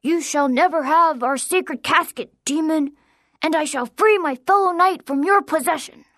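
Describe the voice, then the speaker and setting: A woman voicing a boy who plays a solemn, commanding knight in a storybook, proclaiming slowly and grandly. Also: sits high, fairly bright tone, no echo, studio quality, voice pushed up. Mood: defiant, heroic. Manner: mock-grand, slow proclaiming.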